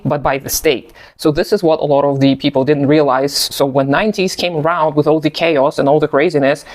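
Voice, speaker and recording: Reflective Russian-speaking man, slightly high voice. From post-Soviet country. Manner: thoughtful, measured, occasional soft laughs. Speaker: video essayist. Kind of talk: reflective personal commentary.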